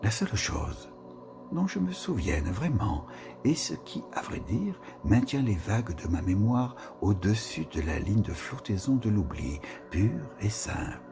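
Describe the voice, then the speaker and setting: A man giving a slow, musing reading of a literary text, with pauses and whispered asides. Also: on the low side, very animated. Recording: reading with music behind. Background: music.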